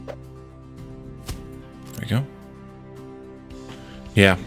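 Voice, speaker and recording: A man's voice: extremely slow, fairly bright tone, soft background music. A man with a congested head cold, casually thinking aloud as he streams a building game. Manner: thinking aloud, muttering to himself while building. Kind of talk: casual let's-play commentary.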